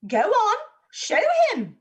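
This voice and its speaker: Shrill-pitched, fawning, cruel matron. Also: female voice, huge pitch swings, takes it slowly, full character voice. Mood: stern, self-satisfied. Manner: obsequious, affected, theatrical. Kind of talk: dramatized stage play reading.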